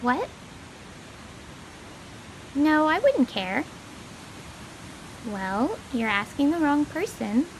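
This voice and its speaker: A daring young girl in a radio-play adventure. Her voice is very high and swoops with eagerness, slowing to stretch out exclamations over a faint hiss. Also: sing-song, exaggerated voice, low-quality recording. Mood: eager. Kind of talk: dramatized tabletop radio play.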